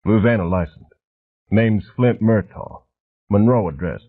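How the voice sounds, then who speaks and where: A man voicing a huge, gruff heavy with a deep, rumbling, gravelly voice, on a muffled cassette recording. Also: a little slow. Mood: gruff, flat. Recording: muffled, phone-like old cassette audio.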